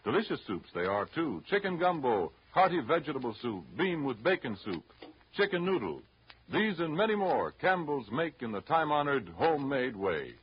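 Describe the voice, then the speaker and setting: Polished, expressive 1930s radio announcer man. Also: on the high side, husky. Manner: polished, formal, measured. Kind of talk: 1930s scripted radio announcing and sponsor read. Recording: dull, hissy old 1930s radio broadcast.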